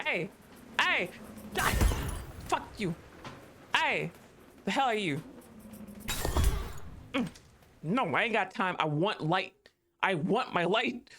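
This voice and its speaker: A man streaming a game live, reacting loudly, swearing, laughing often and talking to the game. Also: sits high. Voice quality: excitable, profane, quick to laugh, talks to the game. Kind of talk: live gameplay commentary.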